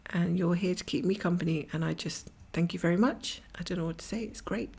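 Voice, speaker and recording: A middle-aged woman from Scotland talks on and on as she stitches at home, breaking into soft whispers along the way. Her voice runs a little low and gravelly, and it is husky and croaky from a blocked nose. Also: fairly even intonation, a little fast. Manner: rambling, chatty, often drops to a whisper. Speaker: cross-stitch vlogger. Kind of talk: casual stitch-along chat. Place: at home, camera over her stitching hoop.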